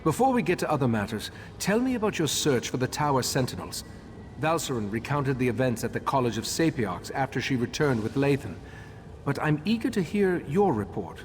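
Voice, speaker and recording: An actor playing a scholarly, pensive elf ritemaster in a fantasy video game, formal and musing. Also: male voice, medium pitch, big pitch swings, fairly quick, close to an everyday voice. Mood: courteous. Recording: long hall reverb, faint background noise.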